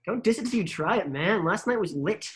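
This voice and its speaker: A woman's voice: mid-range voice, expressive, a little fast, natural voice. A woman playing a fun-loving, wild brother in his twenties with a breezy, slangy manner, in a screenplay table read. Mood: laid-back. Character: fun-loving, wild, laid-back 24-year-old brother.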